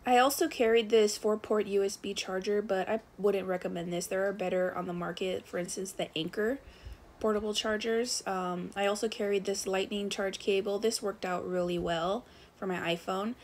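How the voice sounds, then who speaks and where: A woman narrating a casual review of her thru-hiking gear, relaxed, with frequent fillers as she recommends items. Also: medium pitch, normal speed, slight background noise.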